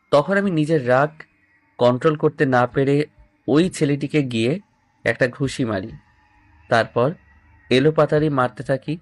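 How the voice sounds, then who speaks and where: A young man reading a scripted first-person love story and acting out the lead's dialogue. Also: mid-range voice. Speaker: story narrator voicing the male lead.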